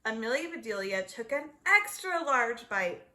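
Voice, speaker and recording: Bright, higher-pitched woman reading a children's story. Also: big pitch swings, unhurried. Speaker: read-aloud storyteller. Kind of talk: children's book narration.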